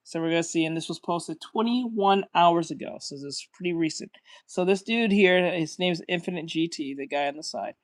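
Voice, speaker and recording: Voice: medium pitch, a little fast. Give me a blunt, offhand reaction host with a mid-range voice. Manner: offhand, swearing, trailing off quietly. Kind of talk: casual reaction commentary.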